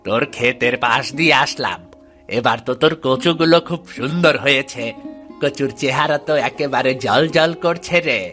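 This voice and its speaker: Man acting a bright, hearty village uncle. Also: mid-range voice, normal speed, soft background music, lightly acted. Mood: cheerful, friendly. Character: cheerful older village uncle, friendly neighbour. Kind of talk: animated moral-tale dialogue.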